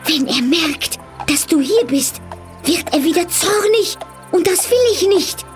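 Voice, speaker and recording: Casting: anxious farmer's wife in fairy-tale story, piercingly high, highly animated, fretful urgency. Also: female voice, measured pace, faint music behind. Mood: panicked. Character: frightened peasant farmer's wife hiding her visitor. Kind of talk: acted fairy-tale audio story dialogue.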